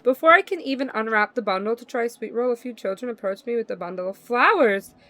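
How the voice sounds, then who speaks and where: A woman's voice: fairly high pitch, lively intonation, fast talker, pushed and bright, slight background noise. A woman livestreamer reading visual novel narration aloud and reacting in a playful, slangy way. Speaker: livestream gamer.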